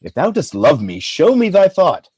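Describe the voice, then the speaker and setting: Male actor as jealous noble commander, theatrical, huge pitch swings, tender to forceful, Shakespearean audio drama. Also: huge pitch swings, moderate pace, slightly rough, no echo, lo-fi sound, full character voice. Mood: suspicious, agitated. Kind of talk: Shakespearean radio play acting.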